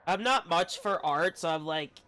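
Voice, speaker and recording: A man's voice: a little high, moderate pace, sharp tone. A man chatting casually while live streaming a game, rambling and hesitant as he thinks aloud. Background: video game dialogue and music.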